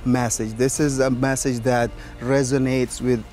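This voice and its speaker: A man's voice: medium pitch, level tone, unhurried, darkish tone, faint music behind. A man giving remarks in an interview.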